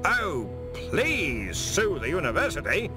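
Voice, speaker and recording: A man's voice: high-pitched, very animated, moderate pace, over loud music, pitched above the performer's own voice. A man voicing a hearty, combative university head wizard who bellows, in a storybook reading. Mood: gleefully taunting.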